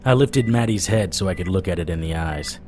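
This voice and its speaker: Low-voiced, world-weary noir detective played by an actor. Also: male voice, fairly quick, slight background noise, lightly acted. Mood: brooding, grim. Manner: wry, deadpan. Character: world-weary, wry male supernatural private detective. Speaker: narrator and lead actor. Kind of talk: noir first-person audio drama narration.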